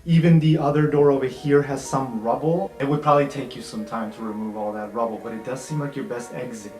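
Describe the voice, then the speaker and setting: A man's voice: medium pitch, steady tone, a little fast. A man narrating an improvised tabletop game as game master, in a casual, conversational way with some swearing. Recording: roomy, music underneath. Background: background music.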